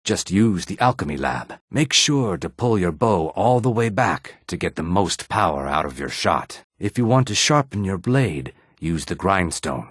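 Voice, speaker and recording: An actor playing a weary, wary lizard-man laborer and fighter in a fantasy video game, speaking in a measured, guarded and plain-spoken way with a raspy throat. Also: low-pitched, sing-song, no echo, clean studio sound, exaggerated voice. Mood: matter-of-fact.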